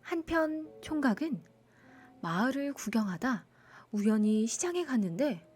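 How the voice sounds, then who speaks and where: A woman narrating a children's bedtime folktale in an expressive storytelling style. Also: on the high side, a little slow, breathy edge, faint music behind.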